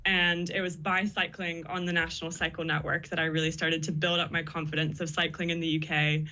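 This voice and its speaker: Bright-voiced young woman, radio guest. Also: sits low, little pitch movement, brisk, lo-fi sound. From born in the US, raised in the Netherlands. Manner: chatty and upbeat, laughs often. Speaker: guest, PhD student. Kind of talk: radio interview.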